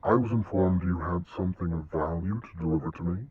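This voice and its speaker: A deep voiced audio drama villain, formal and condescending, thin phone-like sound. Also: male voice, a little fast, breathy edge. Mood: coolly polite.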